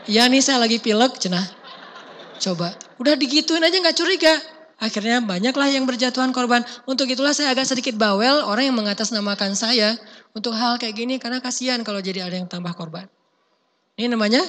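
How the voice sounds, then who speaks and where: A man, giving a casual sermon, talking in a conversational, emphatic way and chanting verses slowly. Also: very high voice, sharp tone, long reverb tail. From Indonesia. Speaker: preacher.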